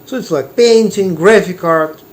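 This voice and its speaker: A man with a Russian accent telling a personal story slowly, with many pauses and fillers. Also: high voice. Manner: unhurried, halting, many fillers and restarts. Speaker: meditation teacher and artist.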